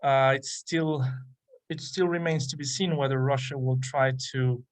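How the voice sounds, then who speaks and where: A man's voice: medium pitch, steady tone, a little slow. A male guest lecturer talks his way through an academic point on a video call. He stops and restarts often and leans on fillers as he searches for words. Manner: hesitant, many restarts and fillers. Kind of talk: academic talk and Q&A. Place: online conference call.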